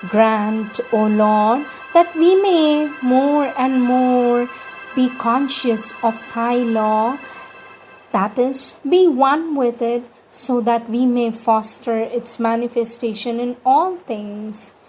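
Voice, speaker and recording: A woman reading devotional prayers aloud in a measured, reverent and prayerful manner, as for an audiobook. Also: on the high side, a little mellow, soft background music, rough recording.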